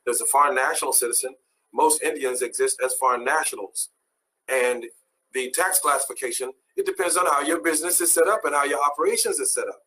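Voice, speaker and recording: A man's voice: fairly high pitch, a little fast, bright, forceful tone, slight background noise, rough recording. A man who is a guest on a talk show, explaining his views in an assertive, lecturing way.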